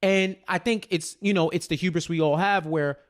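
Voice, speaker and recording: A man's voice: high-pitched, fairly even intonation, normal speed. A man co-hosting a casual TV recap, talking in slang, repeating phrases as he thinks and often checking in with "right?". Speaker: podcast co-host.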